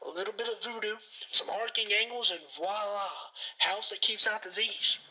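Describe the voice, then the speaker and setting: A man's voice: high-pitched, steady tone, normal speed, pushed and bright, heavily acted, rough recording. An actor playing a defiant, paranoid male conspiracy-theorist host in a radio drama, with a folksy, suspicious, ranting manner. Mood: scornful, excited. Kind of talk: radio drama phone interview.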